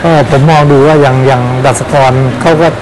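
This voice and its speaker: A man in his sixties, a veteran football coach, talking animatedly in an outdoor interview beside a pitch with a lapel mic. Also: a little fast, very noisy. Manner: animated, exclaims often.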